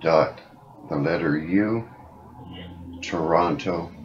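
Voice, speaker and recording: A very low-voiced man speaks as a caller pressing his concerns in an informal way. He is insistent and rambles, on a muffled, lo-fi phone recording. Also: slow, background noise. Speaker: caller making a complaint. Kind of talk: phone call, informal advocacy talk. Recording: dull lo-fi phone call recording.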